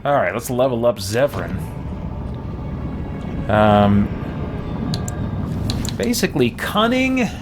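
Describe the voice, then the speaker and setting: A man's voice: mid-range voice, huge pitch swings, takes it slowly, pauses often, music in the background. A man hosting a game livestream, chatting casually and hesitantly, thinking aloud as he goes. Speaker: game streamer and host. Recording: clearly noisy background.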